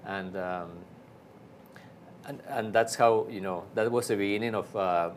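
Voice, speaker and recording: Low-voiced Argentine priest, halting speech. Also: male voice, slight background noise. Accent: Argentine Spanish. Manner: halting, many fillers and restarts. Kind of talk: casual interview storytelling.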